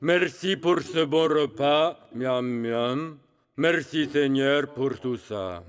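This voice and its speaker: A man's voice: mid-range voice, slow pace, clean tone, big-room sound, close to an everyday voice. A man voicing a sullen, menacing evil sorcerer in a cartoon, with a dry, theatrical manner. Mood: grudging, dry. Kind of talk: French cartoon dub acting.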